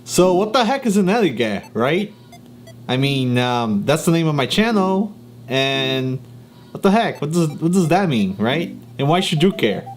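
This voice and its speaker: A man's voice: fairly high pitch, very animated, unhurried, soft background music. A young man from Brazil with a Brazilian Portuguese accent, talking casually to camera, laughing often and filling gaps with ums. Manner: chatty, laughs often, many fillers and restarts. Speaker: YouTuber.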